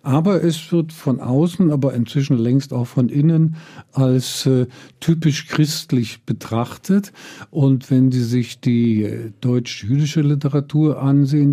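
An older man who grew up in Israel, answering interview questions in a slow, deliberate way with frequent hesitations. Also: mid-range voice, warm tone. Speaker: guest.

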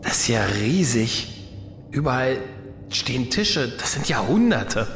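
A man playing a curious, wary adventurer in a German science-fiction audio drama, observant and deliberate in his delivery. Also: medium pitch, sing-song, vocal fry. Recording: faint background noise.

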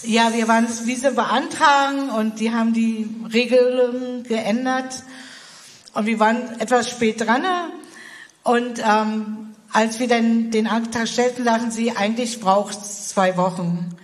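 A woman's voice: a little high, steady tone, a little slow, long reverb tail. A German woman, a missionary, answering interview questions in a hesitant way, with many fillers and restarts. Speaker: missionary guest. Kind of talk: interview conversation.

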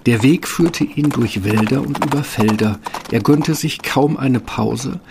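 A man's voice: mid-range voice, measured pace, vocal fry, background noise. A man narrating a fairy-tale radio play in a scripted storytelling style.

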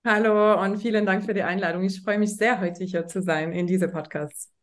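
A woman's voice: mid-range voice, fairly even intonation, brisk. A woman from France with a French accent, a native French speaker, talking as a guest in a casual interview in a thoughtful, hesitant way with frequent fillers. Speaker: guest, startup founder.

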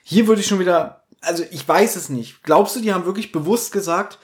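A man's voice: sits high, brisk. A man co-hosting a podcast, chatting casually and animatedly as he reviews audio dramas. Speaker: podcast co-host.

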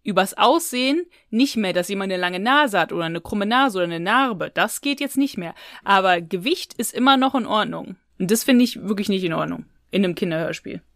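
A woman speaks in a very dry recording with no room around her voice. She sounds like a podcast co-host in casual discussion, opinionated and emphatic, with a medium-pitched voice. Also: expressive, fairly quick, hardly any pauses, fairly bright tone, no room sound.

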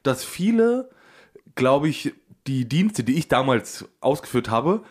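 A man co-hosting a podcast, telling stories casually in a halting, amused, self-correcting way. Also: mid-range voice, very animated. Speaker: podcast co-host. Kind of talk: casual anecdotal chat.

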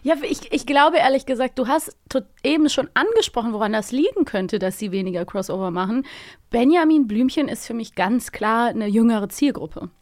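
Woman podcast host just chatting, low-key, close mic, dry room, no echo. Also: lively intonation, moderate pace, a little mellow. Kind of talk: casual chat.

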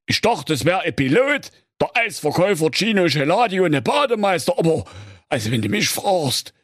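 A man voicing a bossy, menacing big bad wolf disguised as a lifeguard, in a drawn-out, mock-stern storybook delivery. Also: fairly high pitch, very animated, a bit bright, no echo, clean studio sound, voice pushed up. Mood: queasy.

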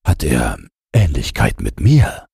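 A man voicing a naive, curious newborn snowman with a soft, questioning manner in a storybook. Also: very deep voice, huge pitch swings, slow, creaky, slightly rough, dry recording, clean studio sound, full character voice.

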